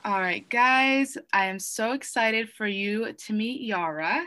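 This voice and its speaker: A woman's voice: fairly high pitch, slow, loud music behind the voice. A young woman hosting a podcast, upbeat and enthusiastic as she introduces her guest. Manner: enthusiastic, bubbly. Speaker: podcast host.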